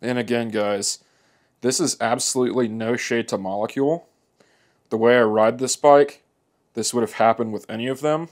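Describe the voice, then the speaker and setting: A man's voice: mid-range voice, steady tone, normal speed. Plainspoken man explaining a repair. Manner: easygoing, plainspoken, talks while working. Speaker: motorcycle repair demonstrator. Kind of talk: hands-on how-to narration. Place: indoor workshop.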